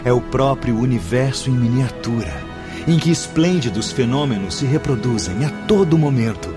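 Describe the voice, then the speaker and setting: A reverent male spiritual master played by a man instructs his students in a radio drama. His voice is medium in pitch, formal and declamatory, with music clearly playing beneath it. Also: animated, normal speed, natural voice. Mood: instructive, reverent. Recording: music under the voice.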